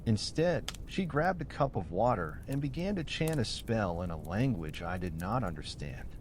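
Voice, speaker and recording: An earnest old man , acted by a male narrator recounts his wrongs in formal storybook fashion. The pitch rises and falls freely, with faint rumbling noise underneath. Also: sing-song, moderate pace. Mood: earnest, wounded.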